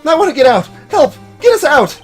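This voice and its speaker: Man playing a frantic trapped man, high-pitched shouts. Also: sing-song, a little fast, soft background music. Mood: panicked. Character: panicking man trapped in a stuck elevator.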